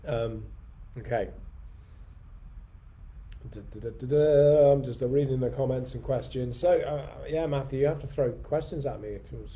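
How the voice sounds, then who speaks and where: A man's voice: medium pitch, normal speed, mellow. An English man hosting a weekly unscripted livestream, rambling casually through viewer questions with a slight cough. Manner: rambling, reads viewer comments aloud. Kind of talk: unscripted casual live chat.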